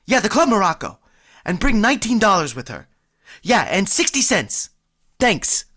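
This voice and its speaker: A man's voice: high voice, big pitch swings, normal speed, bright, forceful tone, full character voice. An actor playing a boastful, easily flustered working-class man who blusters and stammers when he gets nervous, in vintage radio comedy style.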